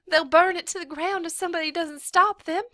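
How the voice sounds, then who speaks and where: A desperate young schoolteacher voiced by a woman reading aloud pleads in a raised, high voice. Her words come bright and forceful, recorded close and dry. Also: animated, drawn-out words, no echo, full character voice. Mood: frantic. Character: frantic young female schoolteacher.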